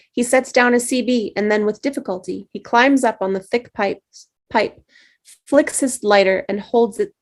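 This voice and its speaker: A woman reading the stage directions at a script table read, steady with small slips. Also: medium pitch.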